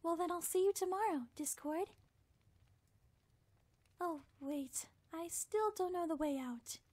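Very high, timid girl pony. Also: measured pace, no echo. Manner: soft, hesitant, polite. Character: timid, gentle, sweet young female pony. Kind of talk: fan animation voice acting.

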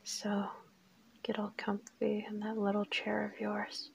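A woman voicing a crazed, teasing assassin kidnapper in an intimate audio roleplay, whispery and unhurried, with a taunting menace. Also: mid-range voice, monotone, a little hiss or hum, poor audio. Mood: cold, teasing. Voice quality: breathy, whispery. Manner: taunting, unhurried, coolly threatening.

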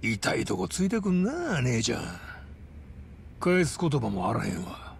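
Grizzled game yakuza boss, Kansai accent, extremely gravelly, lively inflection, stoic and wry. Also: male voice, mid-range voice, unhurried, a little hiss or hum, exaggerated voice. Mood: wry, gruff. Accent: Japanese Kansai. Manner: wry, gruff, unflappable.

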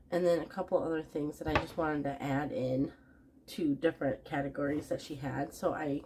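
Young woman, fighting off a cold, chatty home vlog, lots of ums, lower-pitched, faint room hum behind. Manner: chatty, many ums and restarts. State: getting over a cold. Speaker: planner vlogger. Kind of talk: casual planner flip-through. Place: home, at a desk.

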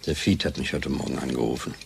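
Gravelly, weary cynical scientist. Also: male voice, very deep voice, fairly even intonation, quick pace, a little hiss or hum, full character voice. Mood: bitter, exasperated. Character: weary, cynical male lab scientist, bitter and exasperated. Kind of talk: German radio drama acting.

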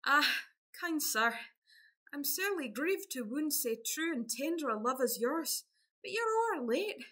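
Guileless, self-admiring Scottish lass , female actor, radio period farce. High pitch, very lively melodrama. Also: measured pace, heavily acted. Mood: sorrowful. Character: vain, guileless young Scottish village lass. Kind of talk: farcical period radio play acting.

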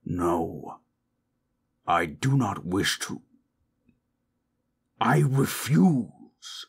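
A man voicing a proud, possessive forest monster in human shape, gravelly and husky, speaking in stilted, imperious phrases for an audio roleplay. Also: low voice, big pitch swings, exaggerated voice. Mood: defiant. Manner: slow, imperious, formal. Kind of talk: dramatic audio roleplay, stilted formal speech.

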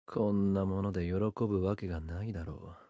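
An actor playing an arrogant, seductive serpent god in a game, speaking in a haughty, deliberate, condescending way. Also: male voice, sits low, fairly even intonation, dark tone, no echo. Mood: teasing, condescending. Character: arrogant, seductive serpent god who toys with human desires. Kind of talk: Japanese game character voice lines.

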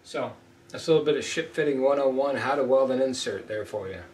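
A middle-aged man gives a blunt, emphatic welding lesson, hammering his points home by repeating them. His voice sits at an ordinary pitch. It is caught by a camera mic a few feet away in a small, echoey room. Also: fast talker, darkish tone, far from the mic, a little hiss or hum. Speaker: ship fitting instructor. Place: small indoor room at a whiteboard. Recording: roomy echo, voice off-mic.

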